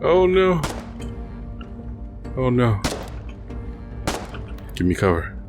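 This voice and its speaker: A man giving casual live gameplay commentary, playful and full of excitable exclamations to his teammates. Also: mid-range voice, very slow, music in the background. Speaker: gaming video host.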